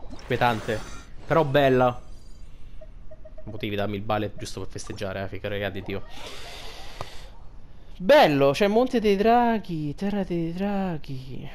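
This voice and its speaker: A man's voice: mid-range voice, expressive, a little slow, long pauses, a little mellow, slight background noise. A man giving casual, unscripted gameplay commentary, rambling and thinking aloud in slang. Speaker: gaming video host.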